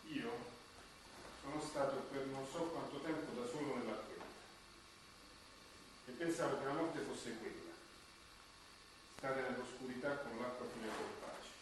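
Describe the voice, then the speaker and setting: A man's voice: medium pitch, normal speed, long pauses, lightly acted. Actor playing reflective man, lonely, radio drama, off-mic, noisy background. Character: reflective dead man recounting long solitude in darkness. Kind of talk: stage-like radio drama acting. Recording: very echoey, distant, noisy stage recording.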